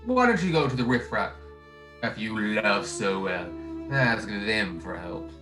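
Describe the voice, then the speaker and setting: A man playing a cruel, gloating old banker in a radio play, with a sneering, deliberate delivery. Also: on the low side, lively intonation, music in the background. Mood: gloating, scornful. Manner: sneering, deliberate, drawn-out phrasing. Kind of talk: radio drama acting.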